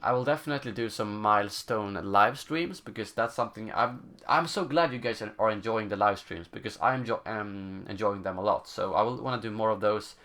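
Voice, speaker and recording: A man's voice: lowish voice, bright, forceful tone. A young man from Sweden with a Swedish accent, answering viewer questions on a gaming live stream and often searching for words. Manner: halting, searching for words, many fillers. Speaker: gaming channel host. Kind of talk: live stream Q&A, casual chat.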